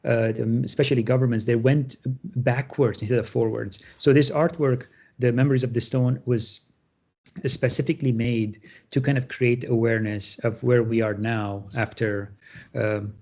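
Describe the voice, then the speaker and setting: A middle-aged man, a guest artist explaining his work on a panel, halting with many fillers and restarts. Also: medium pitch, a little mellow. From Syria. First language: Armenian. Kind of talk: panel talk about his artwork.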